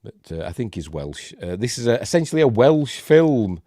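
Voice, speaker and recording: A man's voice: medium pitch, sing-song, moderate pace, dark tone, dry recording, studio quality. A man with a Yorkshire accent hosting a rambling live-stream film review, congested and snuffly from a cold. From Yorkshire, England. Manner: rambling, hesitant, many fillers. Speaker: host.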